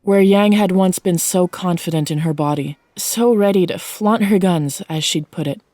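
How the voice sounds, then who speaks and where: A woman's voice: fairly low pitch, normal speed, dark tone, dry recording. A woman giving a scripted narration of a fiction story as its narrator, close to the microphone.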